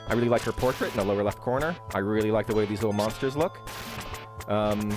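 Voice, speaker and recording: A man giving casual, rambling game commentary as a streamer, halting and hesitant, with dry, wry remarks. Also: lowish voice. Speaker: speedrunner and streamer, host. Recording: roomy echo, faint game music behind.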